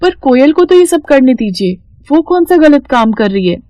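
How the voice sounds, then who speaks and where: A woman's voice: sits high, sing-song, normal speed, dark tone, no room sound, studio quality, pitched above the performer's own voice. A woman voicing a kind, principled young sister-in-law in a cartoon story, earnest and reassuring. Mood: pleading, firm. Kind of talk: animated storybook cartoon dialogue.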